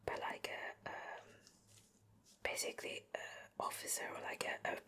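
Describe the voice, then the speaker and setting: A native Swedish-speaking woman whispering a soft, breathy ASMR show and tell in a casual, rambling, hesitant way. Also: a little slow. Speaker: ASMR video host.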